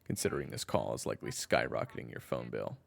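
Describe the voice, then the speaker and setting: A man acts out a jaded former thief in an audio drama. His voice is extremely deep and thick with gravel, yet swings widely in pitch. The delivery is dry, deadpan and sarcastic. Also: quick pace, exaggerated voice. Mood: sardonic. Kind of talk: audio roleplay acting, phone conversation.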